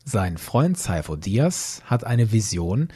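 A man hosting a podcast with scripted, explanatory narration in a husky voice. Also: on the low side, big pitch swings, takes it slowly, mellow. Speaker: podcast host.

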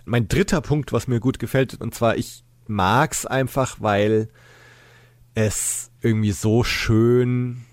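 A man with a fairly low voice hosts a casual podcast discussion. He talks reflectively, explaining as he goes, and the sound is dry with hardly any room. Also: dry recording. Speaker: podcast host.

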